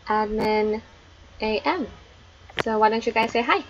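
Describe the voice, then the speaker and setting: A woman's voice: on the high side, measured pace. An Australian woman hosts a casual online chat, taking her time and sounding friendly and welcoming. Her voice sits in an ordinary middle register. The stream audio is a little dull, with a faint hiss underneath. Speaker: book club host.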